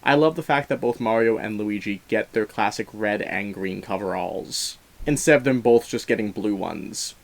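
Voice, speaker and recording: A man hosting a film review show, talking casually and enthusiastically to viewers and sharing his opinions in a chatty way. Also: medium pitch.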